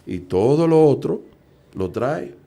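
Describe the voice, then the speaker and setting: A man's voice: mid-range voice, lively intonation, slow pace, vocal fry, dark tone. A middle-aged man, preaching earnestly and asking rhetorical questions. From Dominican Republic. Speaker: evangelist preacher. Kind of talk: preaching talk.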